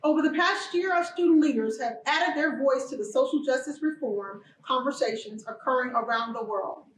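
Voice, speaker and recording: A woman's voice: sits high, normal speed, distant mic, a little hiss or hum. Woman giving a formal speech, echoey. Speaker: college official giving opening remarks.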